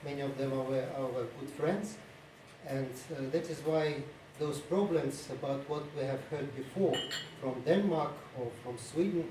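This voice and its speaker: Echoey, halting non-native male conference speaker. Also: medium pitch. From Ukraine-born, lived mostly in Estonia. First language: not English. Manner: halting, formal, frequent long hesitations. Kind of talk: hesitant conference speech. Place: indoor conference room. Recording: echoey room, distant mic, faint noise.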